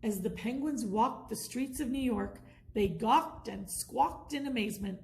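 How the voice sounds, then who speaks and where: A woman reading a children's bedtime story aloud in an expressive, deliberate storytelling manner. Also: a little high, creaky, poor audio.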